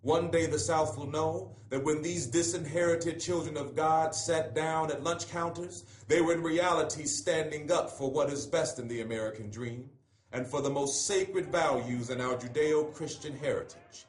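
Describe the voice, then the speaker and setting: A man giving a solemn, measured, oratorical reading of a letter, with deliberate pauses. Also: fairly high pitch, fairly even intonation. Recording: echoey room.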